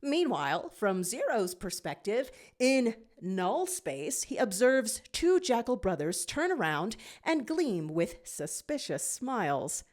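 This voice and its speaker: A woman narrating a scripted fan fiction story in an expressive reading style. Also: fairly high pitch, takes it slowly, hardly any pauses.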